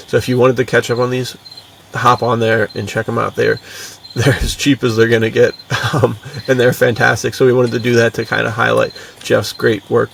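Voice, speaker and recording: A man chatting casually and upbeat as a podcast co-host, in relaxed slang. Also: medium pitch, gravelly, far from the mic, slight background noise. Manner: laid-back slang, laughs easily.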